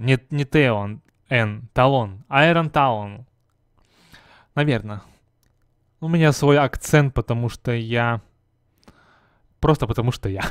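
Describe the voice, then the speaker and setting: A man's voice: medium pitch, dry recording, clean studio sound. A young man reviewing a game patch in casual commentary, joking and firing rhetorical questions at the viewer. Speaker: gaming video host.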